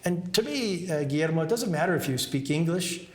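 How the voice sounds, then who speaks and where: A man co-hosts with plenty of enthusiasm, often stumbling over and repeating his words. His voice is a touch high for a man. He is recorded in an echoey room. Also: expressive, brisk. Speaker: co-host. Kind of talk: conversational interview talk.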